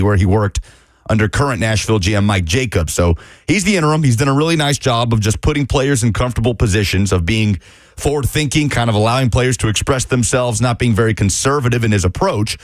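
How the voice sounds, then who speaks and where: A male sports radio analyst talking into a close studio microphone. His voice sits at an ordinary middle pitch, and the sound is dry with no room to it. He is brisk and detailed and rattles off records and statistics. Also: lively intonation, no room sound.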